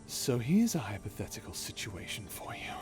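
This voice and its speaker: A man playing a sinister, taunting space pirate villain in an audio roleplay, talking slowly with menace and mockery. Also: fairly low pitch, huge pitch swings, darkish tone, faint music behind. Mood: menacing, taunting. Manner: slow, menacing, mocking. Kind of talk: ASMR audio roleplay drama.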